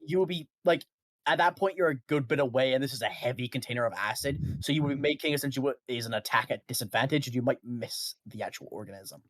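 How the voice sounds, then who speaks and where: Game master running a tabletop game, kinda halting delivery, dry close sound. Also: mid-range voice, no echo. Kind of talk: improvised tabletop game narration.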